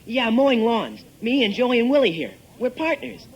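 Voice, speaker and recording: An eager American schoolboy in a vintage radio comedy, with a very high voice that bounces around. He is earnest and slangy, always protesting and pleading his case. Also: big pitch swings, normal speed, breathy edge, heavily acted. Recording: old-time radio recording from tape.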